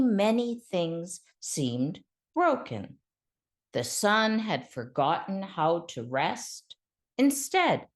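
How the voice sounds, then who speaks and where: Low-pitched woman, lively children's storytelling, dramatic pauses, scripted narration. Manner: deliberate, dramatic phrasing with pauses.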